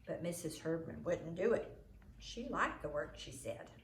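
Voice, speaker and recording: A woman reading a children's book aloud as a storyteller, in an expressive way. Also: deep voice, measured pace. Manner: expressive, acts out the dialogue. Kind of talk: storybook read-aloud narration. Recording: roomy echo, noisy home recording.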